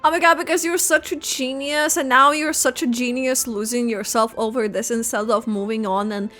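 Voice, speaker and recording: A woman's voice: a little high, fairly even intonation, moderate pace, pushed and bright. A woman giving casual, sarcastic live reaction commentary over a video game. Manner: sarcastic, giggly. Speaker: reaction streamer. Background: game music.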